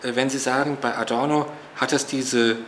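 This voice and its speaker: A man speaks as an interviewed author in a medium-pitched voice. His manner is analytical and measured, qualifying each point, with faint ambient noise behind him. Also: fairly even intonation, a bit bright.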